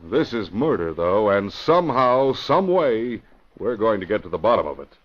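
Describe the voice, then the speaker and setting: An actor playing a firm, determined police commissioner, formal and emphatic, in an old-time radio drama. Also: male voice, lowish voice, big pitch swings, normal speed. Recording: muffled, faintly noisy vintage radio recording.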